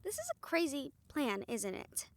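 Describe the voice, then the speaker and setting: A woman's voice: high-pitched, huge pitch swings, unhurried, heavily acted. A woman playing a defiant, determined young woman in a fantasy audio roleplay, earnest and sardonic when challenged. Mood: tense, resolute.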